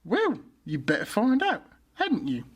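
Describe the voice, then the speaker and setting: Radio play witch: old, greedy and curt, slow delivery, high-pitched, faint noise behind. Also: huge pitch swings, slightly rough, exaggerated voice. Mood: bossy. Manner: commanding, curt. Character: wicked old witch, bossy, greedy, menacing. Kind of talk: fairy-tale radio drama acting.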